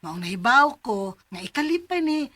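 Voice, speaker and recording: A woman's voice: high voice, sing-song, moderate pace, a bit bright, no room sound, full character voice. A woman acting a kindly, helpful older aunt in a Bisaya radio drama, speaking reassuringly with a Filipino Bisaya accent.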